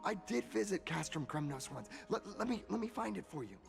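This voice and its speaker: Fussy flustered artist dude in a game, stammers a lot, kinda high creaky voice. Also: male voice, sing-song, fairly quick, full character voice. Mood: nervous, eager. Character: flustered, talkative male artist and dreamer. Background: game music.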